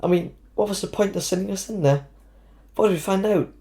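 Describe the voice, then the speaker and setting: A man playing an anxious, blunt schoolboy wizard in an audio drama, halting and incredulous. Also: sits high, dark tone. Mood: exasperated. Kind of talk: fan audio drama acting.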